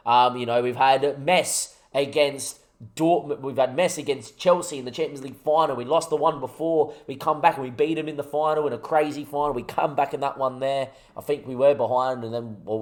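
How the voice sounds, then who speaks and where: A young man talks through his football management game on a live stream, his voice sitting at a medium pitch. He chats with his viewers and builds himself up with rallying, excitable talk. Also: fast. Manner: excitable, rallying, chatty with chat. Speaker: gaming streamer.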